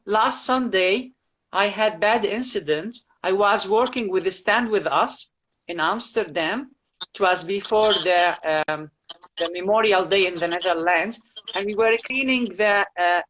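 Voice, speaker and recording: A tired man from Syria, a guest on a casual live-stream chat, who speaks haltingly and repeats his words. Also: high voice, a bit bright, low-quality recording.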